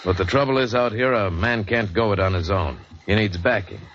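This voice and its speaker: A restless American sea captain in an old radio adventure, brooding and romantic. His voice is low, on a muffled recording with clear background noise. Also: a little fast, a bit bright. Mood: weary brooding. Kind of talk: 1940s radio drama acting.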